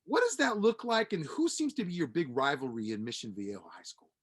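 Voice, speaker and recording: Animated, husky-voiced male sports host. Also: on the high side, a little fast. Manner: animated, rambling questions. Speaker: interview host. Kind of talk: sports interview questions.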